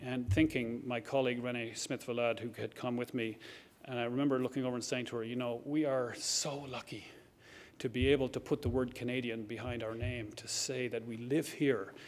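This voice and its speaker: A man's voice: mid-range voice, level tone, a little fast. A middle-aged man with an Irish accent giving a heartfelt acceptance speech, earnest and reflective, with frequent restarts. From Ireland. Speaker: award recipient giving a speech. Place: banquet hall at a lectern.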